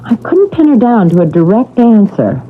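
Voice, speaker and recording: Anxious young wife in tinny radio drama. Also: female voice, mid-range voice, very animated, normal speed, slightly rough. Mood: worried. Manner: hesitant, fretful. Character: anxious young wife and mother, uneasy, affectionate. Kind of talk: 1970s radio drama acting. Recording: tinny vintage radio recording, faint noise.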